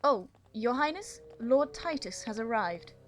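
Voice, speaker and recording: A woman voicing a deferential female dragon aide to a queen in an animated fantasy. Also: a little high, measured pace. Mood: deferential, reporting. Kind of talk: animated fantasy drama acting.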